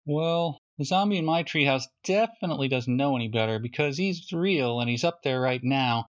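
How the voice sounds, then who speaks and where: A man's voice: medium pitch, expressive, normal speed, lo-fi sound, close to an everyday voice. A man voicing a glum young boy, plaintive and slyly knowing, in a children's storybook reading.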